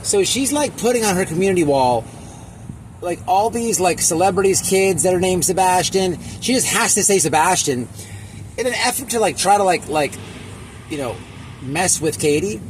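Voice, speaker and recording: Man, high-pitched, opinionated, halting and repetitive, crude slang, casual reaction vlog, noisy background. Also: lively intonation. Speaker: online commentator.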